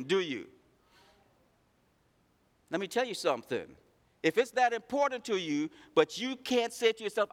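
A man's voice: high-pitched, fairly bright tone, long reverb tail. A man preaching a sermon, calling out his congregation with rhetorical questions. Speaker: pastor.

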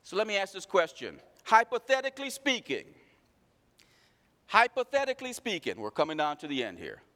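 A man's voice: high-pitched, huge pitch swings, normal speed, sharp tone, big-room sound. A man preaching a sermon, calling out his congregation with rhetorical questions. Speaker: pastor.

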